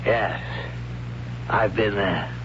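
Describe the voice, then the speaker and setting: An actor playing an awed, reluctant man of a primitive future, speaking haltingly and solemnly in 1970s radio drama style. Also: fairly low pitch, steady tone. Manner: halting, solemn, hushed dread. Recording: dull, noisy 1970s radio recording.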